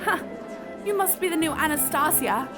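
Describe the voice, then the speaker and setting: A woman's voice: sits high, expressive, normal speed, music in the background. A woman acting a brusque, gossipy workhouse labourer in an audio drama.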